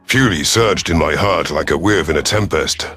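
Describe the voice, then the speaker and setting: Male narrator, low-pitched, for dramatic first-person sci-fi storytelling over faint music. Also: normal speed, bright, forceful tone, breathy edge. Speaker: audiobook narrator.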